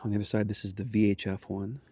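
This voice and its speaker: Low-voiced man giving a casual tour. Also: flat delivery, fast talker, dark tone, husky, dry recording. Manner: explanatory, plain-spoken. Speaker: host, amateur radio hobbyist. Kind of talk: casual walk-through tour. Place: outdoors by a house, then garage and small radio room.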